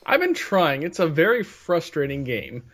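A man's voice: on the high side, sing-song, moderate pace, fairly bright tone. Emphatic man co-hosting a podcast. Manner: exasperated, emphatic listing. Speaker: podcast co-host. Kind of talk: casual podcast chat.

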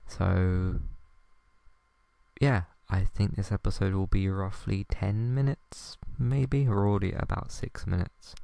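A young man hosting a podcast, talking softly and hesitantly with many ums as he tries out ASMR for the first time. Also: low-pitched, steady tone, unhurried, vocal fry, dry recording. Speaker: podcast host. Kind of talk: first attempt at ASMR, quiet podcast chat.

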